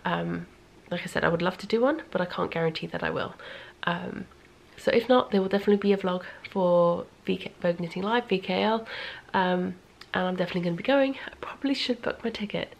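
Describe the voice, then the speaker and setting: A woman's voice: on the low side, fast talker, creaky, few pauses. A woman chatting casually in a vlog in a rambling way, audibly congested and sniffly, sometimes dropping almost to a whisper. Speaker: knitting vlogger.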